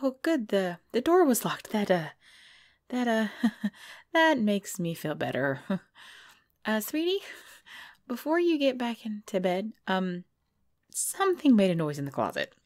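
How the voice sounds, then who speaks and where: A woman's voice: medium pitch, very animated, a little slow, long pauses, no echo, studio quality. A woman playing a cute, easily frightened girlfriend at bedtime in an intimate ASMR-style audio roleplay. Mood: nervous. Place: dry close recording indoors.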